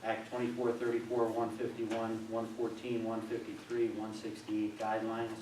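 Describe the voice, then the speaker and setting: Even-toned man reading motions, echoey room. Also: lowish voice, moderate pace. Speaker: school board member. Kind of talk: reading formal motions aloud. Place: meeting room. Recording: echoey room, distant microphone, faint noise.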